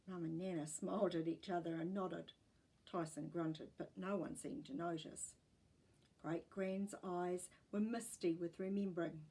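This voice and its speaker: A woman reading a story aloud as an expressive, scripted narrator. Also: on the low side, a little slow, rough recording.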